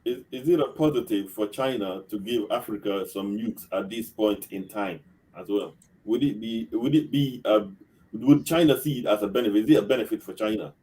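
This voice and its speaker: A man's voice: on the low side, normal speed, dark tone, rough recording. A man hosting a casual online discussion over a call, repeating his questions and laughing at times. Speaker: online talk show host.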